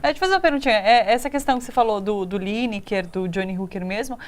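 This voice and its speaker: A woman co-interviewer asking a long question, pausing and rephrasing as she goes. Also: mid-range voice. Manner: halting, rephrasing while asking.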